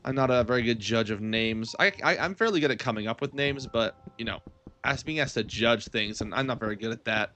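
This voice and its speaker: A man's voice: mid-range voice, brisk, pushed and bright. A young man chatting casually as he hosts a gaming livestream, rambling and thinking aloud as he answers his viewers. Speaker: livestream gamer host.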